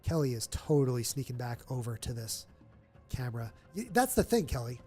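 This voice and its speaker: A man's voice: mid-range voice, sing-song, fairly quick, mellow, breathy edge, soft background music. A man with a nasal voice hosting a live charity stream, chatting upbeat to fill time. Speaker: livestream host. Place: stadium event room.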